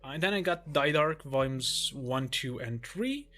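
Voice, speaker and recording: A young man from Portugal whose native language is Portuguese, casually showing his manga shelves in a relaxed, rambling way with frequent ums and restarts. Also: mid-range voice, unhurried, faint music behind. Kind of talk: casual collection tour vlog.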